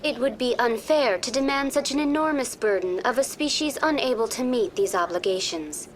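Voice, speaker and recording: A woman's voice: a little high, moderate pace, lightly acted. A woman voicing a polite, programmed virtual intelligence tour guide in a video game, speaking formally and precisely. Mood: polite, informative. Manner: formal, courteous, precisely worded. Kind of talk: scripted informational video game dialogue.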